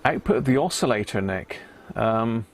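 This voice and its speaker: Gravelly British man thinking aloud. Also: fairly low pitch, expressive, moderate pace. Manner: muttering to himself while working, thinking aloud. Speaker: livestream host, radio repair hobbyist. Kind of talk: casual live repair commentary.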